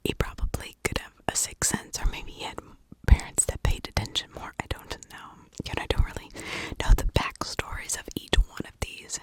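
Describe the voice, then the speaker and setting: A woman whispering in an informal ASMR style, telling stories and giving her own opinions in a breathy, conversational way. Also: moderate pace. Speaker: ASMR true crime storyteller, channel host.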